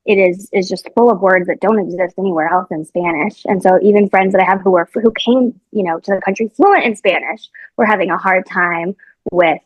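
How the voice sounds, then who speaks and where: A woman's voice: medium pitch, a little fast, no room sound. Chatty young woman on a dull call. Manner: chatty, lots of fillers and restarts. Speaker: reporter, podcast guest. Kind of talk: casual interview answers.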